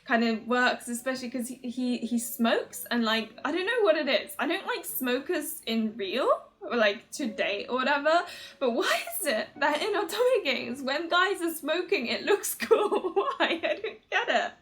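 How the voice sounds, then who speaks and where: A woman with a fairly high voice talks casually to her viewers as the host of a fan video. Her manner is giggly and enthusiastic. She rambles informally and is quick to make fun of herself. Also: expressive, a bit bright. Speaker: video host, otome game reviewer. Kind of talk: casual chatty commentary to viewers.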